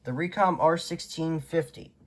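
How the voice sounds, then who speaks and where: A man talking casually and off the cuff as a vlogger showing his collection at home, in a low-key, offhand way. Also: moderate pace, fairly bright tone. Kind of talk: casual unscripted show-and-tell. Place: indoors, rooms at home.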